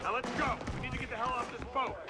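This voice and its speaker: A man playing a flustered, urgent government agent in a video game cutscene, excitable but deferential to his boss. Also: extremely high pitch, very fast, over loud music. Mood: urgent, alarmed.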